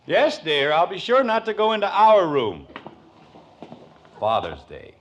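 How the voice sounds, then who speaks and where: A man's voice: sits high, wildly swooping pitch, measured pace, fairly bright tone, heavily acted. An actor playing a sarcastic, exasperated middle-aged American suburban father in a 1950s radio sitcom. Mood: resigned, muttering. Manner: dry sarcasm, sputtering indignation. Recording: noisy old radio broadcast recording.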